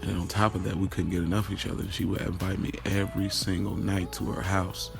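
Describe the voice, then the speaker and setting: A man's voice: deep voice, little pitch movement, fast talker, creaky. A man playing a streetwise young man who tells his love affair in candid, slangy first-person drama narration, with music behind him. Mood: candid, animated. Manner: colloquial, slangy storytelling.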